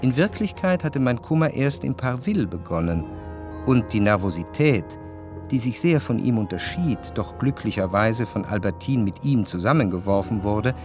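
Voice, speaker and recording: An actor playing an introspective, melancholy narrator, delivering literary radio drama narration in a measured, reflective way. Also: male voice, mid-range voice, natural voice. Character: introspective, melancholy male narrator recalling his past. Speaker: first-person narrator. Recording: studio narration with faint music.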